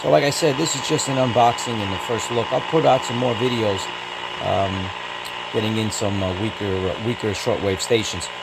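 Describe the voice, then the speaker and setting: Matter-of-fact man over clear background noise. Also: on the low side, steady tone, normal speed. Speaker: radio hobbyist reviewer. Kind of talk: casual unboxing and product review. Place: indoors.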